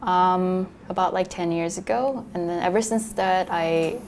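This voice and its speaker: Halting young immigrant woman, lowish voice. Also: darkish tone, slight background noise. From born in Korea, moved to New York in youth. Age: young adult. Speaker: interviewee, local resident. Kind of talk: casual spoken testimony.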